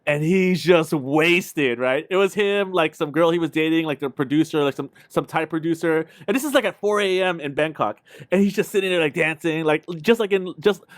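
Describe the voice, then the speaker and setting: A man's voice: fairly high pitch, a little fast, few pauses, no echo, studio quality. A man co-hosting a casual livestream, telling stories in a slangy, rambling way. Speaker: podcast co-host.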